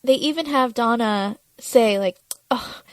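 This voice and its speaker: A woman's voice: a little high, unhurried, no room sound. A woman co-hosting a podcast, chatting informally as she recaps television. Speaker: podcast co-host. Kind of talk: casual TV recap chat.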